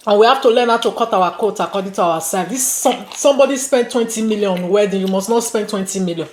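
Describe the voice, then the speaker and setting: A woman's voice: medium pitch, fast. Nigerian woman chatting in an echoey room. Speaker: mukbang vlog host. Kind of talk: casual chat while eating. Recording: roomy echo, faint noise, dish clinks. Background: clicks, cutlery and dishes.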